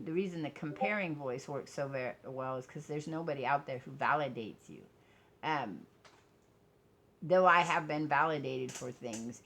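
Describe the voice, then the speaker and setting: A woman talking casually to viewers in a personal vlog, blunt and self-deprecating, halting as she thinks aloud. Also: a bass voice, fairly bright tone, slight background noise. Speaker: vlogger.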